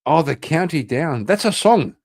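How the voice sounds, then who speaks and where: A man's voice: fairly high pitch, expressive, moderate pace, gravelly, darkish tone. A man hosting a casual livestream, swearing often and talking in a blunt, combative way. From Northern Ireland. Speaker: livestream host.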